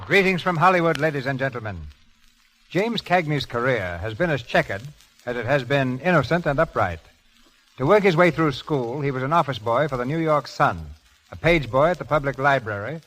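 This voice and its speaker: A man's voice: medium pitch, expressive, a little fast, fairly bright tone, poor audio. A man delivering scripted announcements and narration in a 1930s radio show style, on an old broadcast recording.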